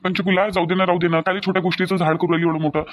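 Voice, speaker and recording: A man's voice: high-pitched, level tone, rapid-fire, lightly acted. A man playing an easygoing grown son in a family drama, pleading repetitively in a Varhadi Marathi accent. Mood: placating. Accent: Varhadi Marathi of Vidarbha. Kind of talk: amateur family comedy-drama dialogue.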